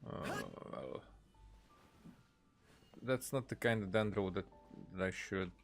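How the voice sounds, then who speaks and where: A man with a fairly low voice casually comments on a game he is streaming. He reads bits of text aloud, with faint music behind him. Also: very animated, slow. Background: soft game music.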